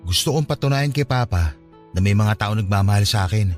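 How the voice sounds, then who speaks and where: A man's voice: fairly low pitch, brisk, a little mellow, close to an everyday voice. An actor playing an earnest, hurt son who longs for his father's love in a Filipino radio drama. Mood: earnest, reassuring. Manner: polite, earnest, pleading. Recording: music behind the voice.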